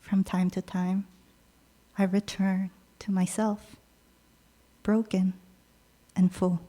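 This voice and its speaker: Brief: woman poet, Korean native, reading her verse slowly, mid-range pitch. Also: little pitch movement. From Peru. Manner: slow, measured delivery with soft pauses between lines. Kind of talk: poetry reading.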